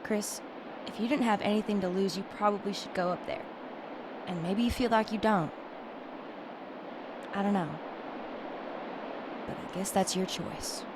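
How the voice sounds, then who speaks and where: An actress playing a protective, plain-spoken rodeo rider's sister with a stern, earnest delivery. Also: female voice, medium pitch, unhurried, long pauses, noisy background, natural voice. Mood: stern, pleading. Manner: blunt, earnest. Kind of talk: radio play acting.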